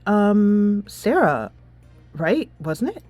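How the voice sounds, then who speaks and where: A woman's voice: mid-range voice, sing-song, very slow, no room sound. A woman voicing a sweet, anxious teenage schoolgirl in a game, hesitant, polite and apologetic. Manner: hesitant, polite, full of ums. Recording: soft music behind the voice.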